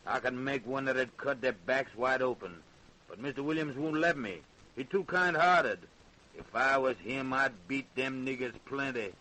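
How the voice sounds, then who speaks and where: An actor playing a servile but cruel plantation hand in a radio drama, folksy and eager, with a Southern American accent. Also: male voice, mid-range voice, normal speed, pushed and bright, lightly acted, poor audio. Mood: boastful, cruel. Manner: folksy dialect, eager, menacing relish. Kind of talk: old-time radio drama acting.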